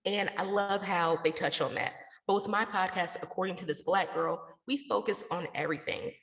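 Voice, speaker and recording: A woman's voice: mid-range voice, moderate pace, gravelly, a bit bright. Echoey, reverberant woman in an interview. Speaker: interview guest, podcaster and radio station founder. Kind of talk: conversational interview answers. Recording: echoey room with long reverb.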